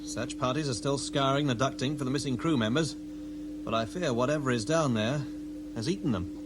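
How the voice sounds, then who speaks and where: An actor playing an anxious, deferential starship officer, formal and obsequious, in a 1980s radio science-fiction drama. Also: male voice, medium pitch, brisk, noisy background, lightly acted. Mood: anxious, dutiful.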